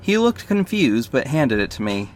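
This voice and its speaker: A man's voice: mid-range voice, very animated, moderate pace, natural voice. A man playing a confident, flirty detective and secret vigilante, narrating an audio drama in the first person, conversational and confiding. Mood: charming, flirty. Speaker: narrator and lead character. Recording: faint background noise.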